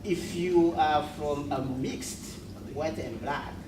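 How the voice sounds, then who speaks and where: A man in a training class makes an emphatic point, halting often and filling pauses with "um". He is heard from far off in an echoey room. Loud background noise competes with his voice. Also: on the high side. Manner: emphatic, halting, many fillers. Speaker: workshop participant. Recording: distant roomy classroom mic with loud noise.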